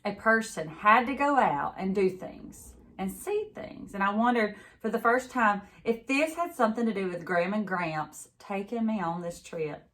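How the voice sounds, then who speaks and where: A woman giving an expressive read-aloud narration of a children's novel. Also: mid-range voice, normal speed. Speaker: teacher reading a novel aloud.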